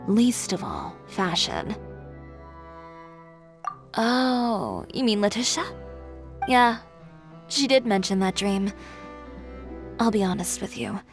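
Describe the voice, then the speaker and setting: Woman as a self-assured designer in a game, mid-pitched, cool and wry, soft music behind. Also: close to an everyday voice. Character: confident young woman fashion designer, proud and stubborn.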